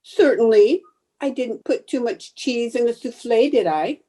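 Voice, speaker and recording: High-pitched, proud and defensive housewife for a radio comedy, indignant and easily flustered played by a woman. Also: expressive, measured pace, warm tone. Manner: indignant, flustered theatrical delivery. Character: proud, defensive housewife bluffing about her cooking. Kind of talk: old-time radio sitcom acting.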